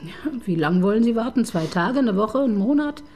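A woman's voice: a little high, animated, a little fast, dark tone. A woman playing a shrewd, brooding police chief inspector in a radio crime drama, wry and thoughtful. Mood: decisive. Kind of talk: naturalistic German radio drama dialogue.

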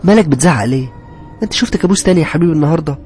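An affectionate, worried Egyptian wife, as a male storyteller acts her out. The questions come in a raised pitch, concerned and caring, over soft music. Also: animated, very fast, lightly acted.

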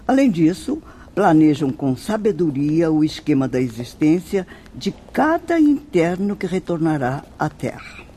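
A woman's voice: low voice, very animated, measured pace, mellow, slight background noise. A woman playing a wise, compassionate spirit mentor in a radio drama, teaching in a solemn, formal manner. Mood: instructive.

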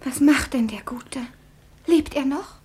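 An actress playing a brave, devoted young daughter in a 1950s radio play, wistful and theatrical, with breathy delivery. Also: female voice, high-pitched, a little slow, a little mellow. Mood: tender, breathy.

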